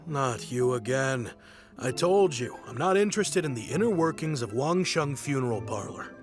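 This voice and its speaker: A man's voice: medium pitch, lively intonation, moderate pace, natural voice. An actor playing a weary, dismissive, guarded townsman in an anime-style game. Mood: annoyed. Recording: music behind the voice.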